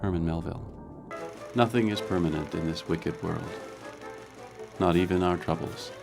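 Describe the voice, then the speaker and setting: Solemn TV crime profiler: very low, creaky voice, measured scripted recitation, music underneath. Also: male voice. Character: grave, thoughtful male criminal profiler narrating. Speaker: actor in a TV crime drama. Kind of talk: scripted voice-over reciting quotations.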